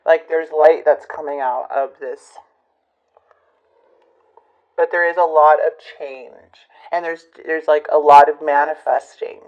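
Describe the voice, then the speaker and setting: A woman giving a casual tarot reading to her viewers, musing and hesitant, her voice a bit hoarse from a recent illness. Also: low-pitched, fairly even intonation, a little slow, lo-fi sound. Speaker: tarot reader.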